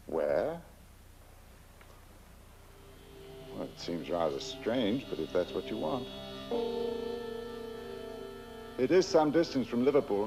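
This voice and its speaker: An actor playing a suave, scheming, lecherous lawyer with a pompous, courtly manner in a horror comedy film. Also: male voice, on the low side, mellow, lo-fi sound. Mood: courteous, puzzled.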